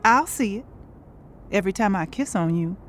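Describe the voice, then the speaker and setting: Actress as a sassy, sharp-tongued woman, very expressive. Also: medium pitch, moderate pace, faint music behind, lightly acted. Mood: sardonic, teasing. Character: sharp-tongued, indignant, sardonic woman, singer Lorraine. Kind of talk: naturalistic audio drama acting.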